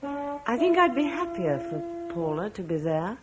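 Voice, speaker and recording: An actress playing a caring, motherly Roman wife, soothing and gentle in a vintage radio drama. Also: medium pitch, sing-song, unhurried, over music.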